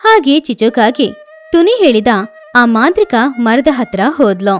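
A woman narrates a children's story in a high, swooping voice. Her scripted delivery is animated, and music plays beneath it. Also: unhurried.

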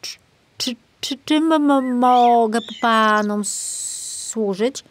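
A woman's voice: a little high, slow, slight background noise, voice pushed up. A woman voicing an easily rattled, flustered male forester in a children's storybook. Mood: nervous. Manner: hesitant, stammering when nervous.

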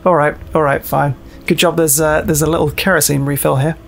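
A man's voice: fairly high pitch, level tone, brisk, vocal fry. A man giving casual, jokey let's-play commentary, muttering and swearing as he plays. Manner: chatty, jokey, sweary, mutters to himself while playing. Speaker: gaming show host, playing the game.

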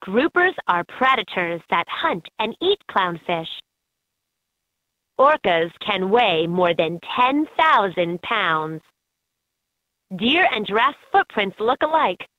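A woman reading scripted educational narration for a children's game in an expressive, instructive way. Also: mid-range voice, takes it slowly, no room sound. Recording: slightly dull, lo-fi game audio.

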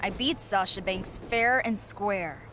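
A woman's voice: mid-range voice, takes it slowly, fairly bright tone, a little hiss or hum. A woman voicing a confident young female rookie wrestler who talks coolly. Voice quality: confident, cool.

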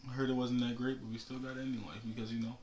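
A man's voice: mid-range voice, level tone, rapid-fire, a little mellow, highs cut off. A young man co-hosting a haul vlog with casual, laid-back, joking chat, recorded on camera in a small room. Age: young adult. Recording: noisy, echoey small room.

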